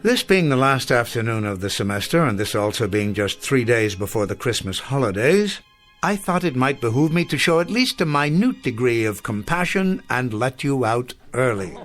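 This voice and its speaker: Courteous elderly professor over music. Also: male voice, mid-range voice, big pitch swings, normal speed, a bit bright. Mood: wry, fond. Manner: courteous, wordy, old-fashioned formal phrasing. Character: gentle bookish elderly male literature professor, courteous, absent-minded. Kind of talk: radio drama acting.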